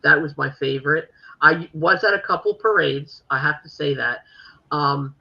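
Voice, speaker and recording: Gravelly-voiced Philadelphia woman host. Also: sits low, moderate pace, muffled recording. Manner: chatty, rambling, many fillers. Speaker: podcast host. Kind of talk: casual chat.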